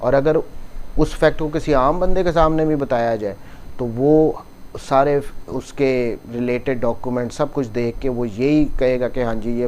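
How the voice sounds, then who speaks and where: A man's voice: mid-range voice, a little mellow, slight background noise. A man with a Pakistani accent giving an explanatory law lecture, mixing in English legal terms and carefully read passages. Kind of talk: explanatory law lecture, reading statute clauses and explaining them.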